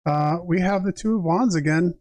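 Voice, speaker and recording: A man with a moderately high voice, recorded very dry without room sound. He works as a tarot reader on a livestream, shifting between reading passages aloud and casual, reflective chat addressed straight to his viewers. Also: moderate pace, darkish tone, no echo. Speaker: tarot reader and live streamer.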